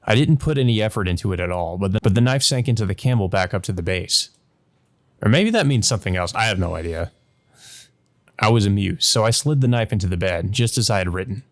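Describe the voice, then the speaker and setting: Guy with kinda low voice doing a visual novel lets play, reads the text and stumbles thru his own comments. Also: expressive, fast. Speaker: let's play host.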